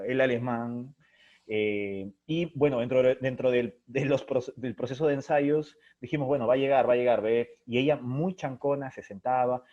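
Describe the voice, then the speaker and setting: A man talks as an interview guest, thinking his way through each point. His voice sits at an average pitch and his delivery is expressive and anecdotal. The sound is muffled and lo-fi, as from an internet call. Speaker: interview guest, theatre playwright and director. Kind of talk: reflective interview conversation.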